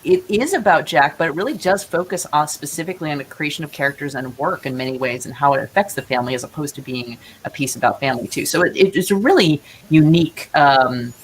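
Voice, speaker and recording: Female interview guest, playwright. Low register, effusive and halting delivery, some noise behind her. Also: poor audio. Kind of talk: animated interview conversation.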